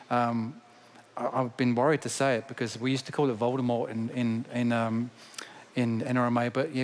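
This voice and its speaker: A man in his fifties, a guest on a conference stage panel, speaking steadily with many ums through a headset mic. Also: mid-range voice. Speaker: company tech executive guest. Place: large conference stage before an audience.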